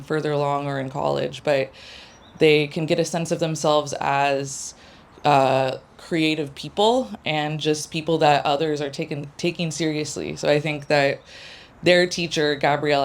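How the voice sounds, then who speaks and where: Low-voiced woman, halting and self-conscious. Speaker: creative writing teaching fellow. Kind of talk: casual spoken reflection to a live gathering.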